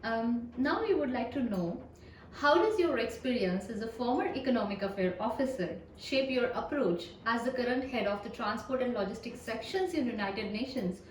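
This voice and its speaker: A woman hosts the interview in a friendly way, often affirming her guest. Her voice sits a little high and sounds set back from the mic. The room is echoey, with faint noise in the background. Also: lively intonation, normal speed, distant mic. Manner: friendly, frequent backchannel affirmations. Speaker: host, interviewer. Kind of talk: hosting an interview.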